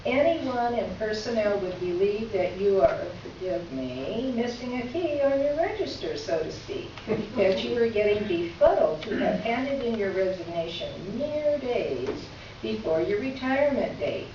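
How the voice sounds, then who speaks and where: A woman's voice: medium pitch, very animated, a little slow. A woman playing a gracious, wry letter writer in a staged reading, speaking in formal, articulate phrases. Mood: gracious, earnest. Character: witty, gracious woman writing a candid letter. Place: echoey indoor room, recorded from afar. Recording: echoey room, distant mic, muffled, faint noise.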